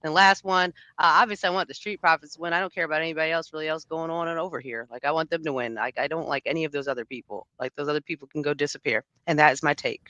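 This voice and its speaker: A woman co-hosting a casual panel chat, blunt and opinionated, saying she has a deep voice. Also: bright, forceful tone, dry recording, lo-fi sound. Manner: blunt, opinionated, quick and dismissive. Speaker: podcast co-host.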